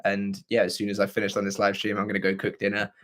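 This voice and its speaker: A hoarse young man with a scratchy, throaty voice, hosting a livestream and chatting casually with viewers. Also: fairly low pitch, completely flat delivery, quick pace, fairly bright tone. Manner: chatty and rambling, with frequent fillers and throat clearing. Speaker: livestream host. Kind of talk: casual livestream chat answering viewer comments.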